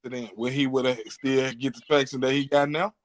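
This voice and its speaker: A man's voice: mid-range voice, flat delivery, fairly quick. Earnest man hosting a casual podcast. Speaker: podcast host. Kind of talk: casual opinionated podcast talk.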